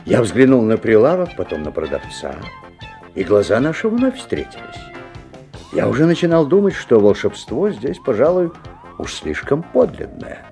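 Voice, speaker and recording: An actor playing a wary, observant father who narrates a strange tale expressively, with theatrical pauses. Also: mid-range voice, very animated, gravelly, mellow. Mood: wondering, uneasy. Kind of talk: dramatic literary narration. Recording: 1970s audio play, music behind.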